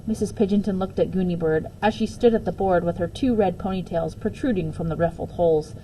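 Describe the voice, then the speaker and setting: Female storybook reader: soft, warm timbre, expressive narration for children, slight noise behind. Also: on the low side, brisk, rough recording. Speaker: teacher reading a book aloud. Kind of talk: children's storybook read-aloud.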